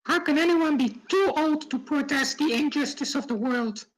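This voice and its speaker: Actress as forties activist woman, high voice, earnest, argumentative, principled, script read. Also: normal speed, close to an everyday voice. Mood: indignant. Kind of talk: live screenplay table read.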